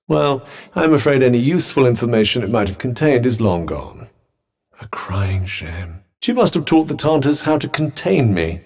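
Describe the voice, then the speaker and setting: A scornful male demon trapped in a talking cuff, a video game companion with a husky, slightly breathy voice. His delivery is dry and mocking, and the pitch swings widely through every barb. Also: exaggerated voice.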